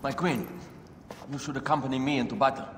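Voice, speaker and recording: A man's voice: medium pitch, fairly even intonation, fast talker, a bit bright, natural voice. An actor playing a grave, devoted warrior serving a queen in a video game cutscene. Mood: solemn. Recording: echoey hall, clear background noise.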